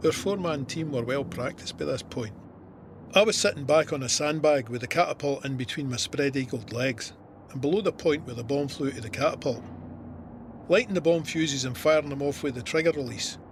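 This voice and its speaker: An actor with a Scottish accent playing a soldier who narrates his war memoir in a measured, vivid, plain-spoken way. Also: medium pitch, background noise, natural voice. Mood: warm, reflective. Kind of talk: scripted first-person war memoir narration.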